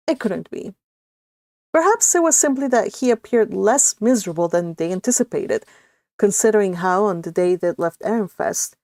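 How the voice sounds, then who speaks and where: A woman's voice: mid-range voice, a little mellow, dry recording, studio quality. Non-native woman author reading her story, then casual chatter full of laughs. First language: not English. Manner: laughs often, restarts sentences.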